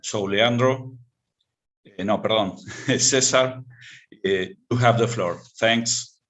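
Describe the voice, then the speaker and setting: Low-voiced moderator, halting. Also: male voice, fairly bright tone. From Argentina. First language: Spanish. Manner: halting, fillers, slips into Spanish. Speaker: webinar moderator. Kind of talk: moderating online webinar.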